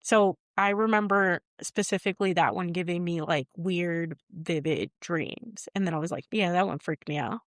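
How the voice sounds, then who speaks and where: A woman's voice: lowish voice, normal speed, few pauses, no echo, clean studio sound. A woman co-hosting a podcast, chatting casually with a rambling, hesitant way of talking and frequent laughs. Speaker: podcast co-host. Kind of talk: casual chat.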